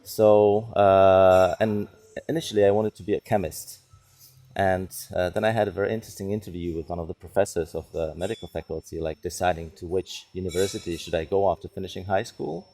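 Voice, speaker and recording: A middle-aged man with a Czech accent, a non-native English speaker, answering interview questions conversationally and haltingly as he searches for words. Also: low voice, fairly even intonation, warm tone. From Czech Republic. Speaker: psychiatrist and neuroscience researcher, interview guest.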